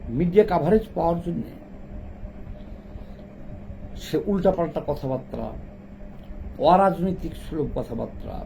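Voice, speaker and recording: A middle-aged man with a Bangladeshi accent talks politics off the cuff. His voice sits slightly high for a man but has a soft, dark tone. He is opinionated and scolding, repeating phrases to press his point, over faint ambient noise. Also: animated, a little slow, gravelly, long pauses, low-quality recording. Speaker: political commentator. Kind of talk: unscripted political commentary to camera.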